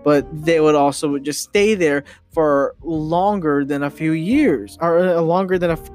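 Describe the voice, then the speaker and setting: Young male podcast host, higher-pitched, opinionated and emphatic, stumbling repetitions, guitar bed underneath. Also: a little mellow. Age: young adult. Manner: halting, repeating and restarting phrases, emphatic, mildly sweary. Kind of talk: rambling, opinionated podcast monologue. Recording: guitar music behind the voice. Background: guitar music.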